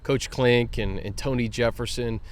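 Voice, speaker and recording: A man's voice: mid-range voice, steady tone, normal speed. A man hosting a sports show, talking conversationally about team news. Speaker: sports podcast host.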